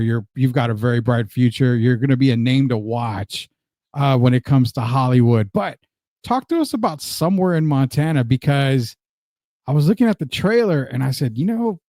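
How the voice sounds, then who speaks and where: An American man hosts a sports talk show in a mellow tone. He delivers chatty, promotional patter and conversational questions. The sound is dry, with very little room. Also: medium pitch, dry recording. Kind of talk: upbeat show introduction and interview.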